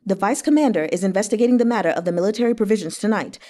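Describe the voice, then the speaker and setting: A woman voicing an indignant male government official, forceful and accusing, in a story reading. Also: medium pitch, very fast, close to an everyday voice.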